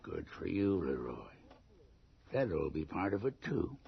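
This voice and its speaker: Very raspy, gravelly scheming man. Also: low voice, big pitch swings, moderate pace, exaggerated voice. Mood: gloating, scheming. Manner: gruff, conspiratorial. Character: scheming, domineering small-town male ringleader. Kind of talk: radio drama acting. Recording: muffled old radio drama recording.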